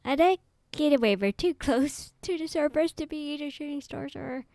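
Woman streamer rambling w lots of ums and giggles, kinda high voice. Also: normal speed, a little mellow, no room sound, studio quality. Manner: chatty, giggly, rambling with many fillers. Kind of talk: casual live game commentary.

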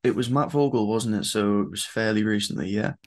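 A young British man hosting a casual online interview, informal and quick to react. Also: on the low side. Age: young adult. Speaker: interviewer, online show host. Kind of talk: casual chat. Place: small home room, indoors, on a video call.

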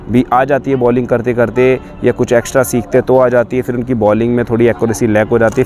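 A man's voice: medium pitch, darkish tone. A man in his thirties, a cricket coach, explaining bowling grips step by step outdoors on a lapel mic. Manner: explains step by step while showing a ball grip. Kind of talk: spoken coaching tutorial. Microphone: lapel mic on camera facing him.

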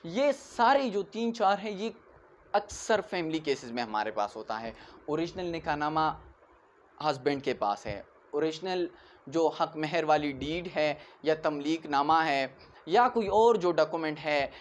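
A man's voice: fairly high pitch, lively intonation, a little slow, a little hiss or hum. A man in his twenties with a Pakistani accent giving an explanatory lecture, didactic, asking questions and answering them himself, switching into English legal terms. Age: 20s. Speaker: law lecturer. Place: indoors in front of a whiteboard.

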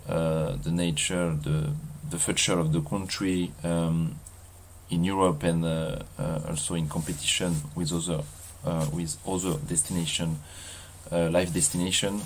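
A man in his thirties with a strong French accent, vlogging outdoors in a halting way with many fillers and restarts. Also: deep voice. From France. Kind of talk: casual walking vlog. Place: outdoors in a seaside mountain town.

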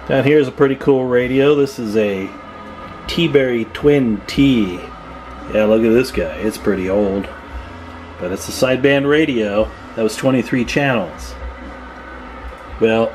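A man giving a casual, informal hands-on demonstration as a hobbyist, unhurried, recorded on a handheld camera in a room at home. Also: mid-range voice. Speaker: CB radio hobbyist showing his equipment. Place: indoor room at home with radio gear. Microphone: handheld camera microphone, speaker off screen.

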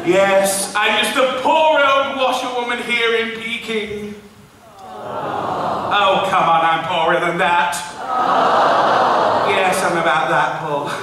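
A man's voice: high-pitched, far from the mic. A camp old pantomime washerwoman. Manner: camp, bawdy, teasing the audience, bursts into song. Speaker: pantomime dame. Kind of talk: live pantomime stage comedy. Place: large theatre stage. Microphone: far stage recording. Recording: echoey hall recorded from afar.

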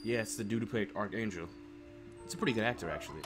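A young American man giving casual, slangy let's play commentary, rambling and thinking out loud. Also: lowish voice, very fast, loud music behind the voice. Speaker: gaming streamer. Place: room at home. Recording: clearly noisy home webcam stream. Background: car game sounds.

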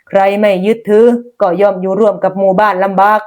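A woman voicing a calm, wise old village abbot in a folk tale, measured and moralizing. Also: mid-range voice, close to an everyday voice. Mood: firm.

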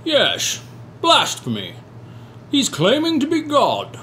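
A man's voice: extremely high pitch, huge pitch swings, slow, sharp tone, a little hiss or hum, heavily acted. A man doing an earnest rabbi witness. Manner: earnest, explaining.

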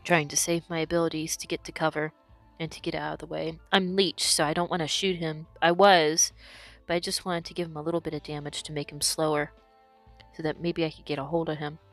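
Hoarse woman commenting on her gameplay. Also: sits low, level tone, a little fast, fairly bright tone, soft background music. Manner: explanatory, talks through her decisions. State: losing her voice from illness.

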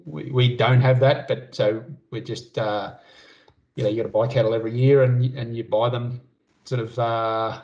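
Male farmer panellist, medium pitch, hesitant casual delivery, dull lo-fi call sound. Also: fairly even intonation, moderate pace, darkish tone. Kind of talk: casual question-and-answer talk. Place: indoors on a video call. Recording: dull video-call audio.

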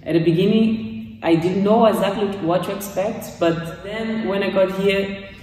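A woman's voice: medium pitch, fairly even intonation, a little slow, warm tone, recorded from a distance. A young woman from Brazil with a Brazilian Portuguese accent, speaking English as a second language, giving a casual testimonial and often restarting her sentences as she searches for words. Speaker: course graduate giving a testimonial. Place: small gym room, indoors, in front of weight racks. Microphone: far camera. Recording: echoey room from off-mic.

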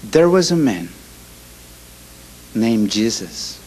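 Ecuadorian man speaking slow accented English. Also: on the low side, big pitch swings, warm tone, slight background noise, poor audio. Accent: Ecuadorian Spanish. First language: Spanish. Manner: halting non-native English, many restarts, gesturing. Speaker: ministry speaker. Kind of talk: animated talk to an audience. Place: hall indoors. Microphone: handheld mic.

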